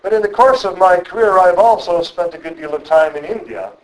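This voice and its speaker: A middle-aged man giving a reflective public lecture, speaking in a measured, thoughtful way and often pausing on um and uh. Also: high voice, low-quality recording.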